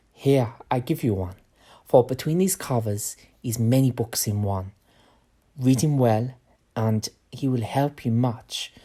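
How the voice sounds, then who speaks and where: An actor playing a courteous, bookish professor in an audio drama, speaking formal, slightly unidiomatic English. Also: male voice, mid-range voice, dark tone, breathy edge. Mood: gentle, earnest.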